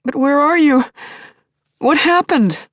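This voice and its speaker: An actress playing a tender, yearning middle-aged widow in an audio play, with a husky, breathy, confiding delivery that often drops toward a whisper. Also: female voice, high voice, expressive, no echo, clean studio sound. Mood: anguished, searching. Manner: confiding, halting, emotionally charged. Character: grieving middle-aged widow recalling her returned dead husband, tender, yearning. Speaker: lead actor in an audio play. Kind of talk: intimate first-person audio drama monologue.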